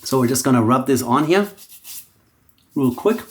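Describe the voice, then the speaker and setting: A man's voice: mid-range voice, moderate pace. Middle-aged german guy, accent, just chatting along while he shaves, restarts a lot, plain mid voice. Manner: relaxed, thinking aloud with frequent restarts. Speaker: shaving vlogger. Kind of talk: casual product demo chat.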